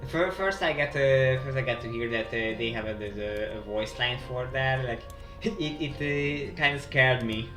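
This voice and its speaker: A man giving casual live commentary on a game as a streamer, haltingly repeating phrases as he thinks aloud. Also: mid-range voice, sharp tone. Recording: roomy sound with game music. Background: video game music.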